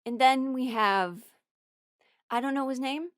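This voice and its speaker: A woman giving casual, rambling opinion commentary as a host, candid and quick to soften her blunt takes with apologies. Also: high-pitched, dry recording, clean studio sound. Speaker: host ranking audio drama characters.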